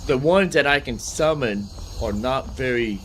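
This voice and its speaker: A man's voice: mid-range voice, animated, unhurried. Rambling man narrating his gameplay, noisy background. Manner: rambling, thinking aloud, repeats himself. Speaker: gaming streamer. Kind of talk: casual gameplay commentary.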